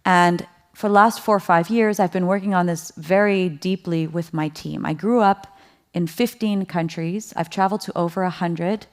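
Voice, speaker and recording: A woman from the Netherlands giving a conference keynote, talking in a lively, conversational way, asking the audience questions and laughing lightly between points. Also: on the low side, moderate pace. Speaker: keynote speaker.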